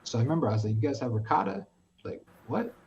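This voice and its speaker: A man's voice: medium pitch, fast talker, creaky, lo-fi sound, slight background noise. A man from New York telling a story with lots of swearing, acting out the dialogue as he goes. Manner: animated storytelling, heavy swearing, acts out dialogue. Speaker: podcast guest. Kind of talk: casual chat.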